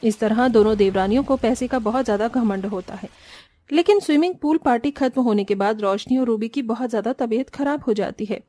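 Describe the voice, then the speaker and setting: A woman's voice: on the high side, fairly quick, dark tone. A woman narrating a scripted family cartoon story.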